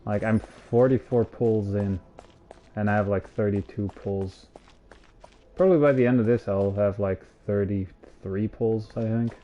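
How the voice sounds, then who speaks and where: A man's voice: on the low side, steady tone, unhurried, warm tone, dull audio. A man giving casual, off-the-cuff live commentary as he streams a game.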